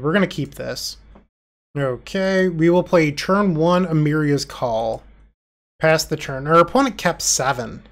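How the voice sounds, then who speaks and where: A man talks through an online card game in a relaxed, casual way, with a congested, nasal voice from a recent illness. He thinks aloud and pokes fun at his own play. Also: fairly high pitch. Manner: self-deprecating, thinking aloud. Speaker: gameplay commentator.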